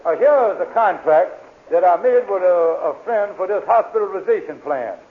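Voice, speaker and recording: An actor playing a scheming, blustering small-time swindler with a wheedling, hesitant manner in 1940s radio comedy. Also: male voice, high-pitched, animated, moderate pace, husky. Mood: anxious pleading. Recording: tinny, hissy old radio recording.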